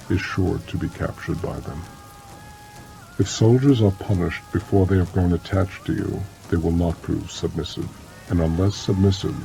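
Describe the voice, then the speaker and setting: A man narrates an audiobook with a very low voice full of gravelly fry. His delivery is measured and solemn, like formal dramatic reading. Clear rain-like noise sits behind him. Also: warm tone, rough recording. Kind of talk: scripted dramatic reading of an ancient military treatise.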